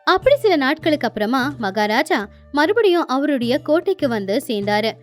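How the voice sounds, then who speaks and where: Lively high-voiced woman storyteller. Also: normal speed. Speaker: story narrator. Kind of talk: expressive scripted moral storytelling. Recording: music behind the voice.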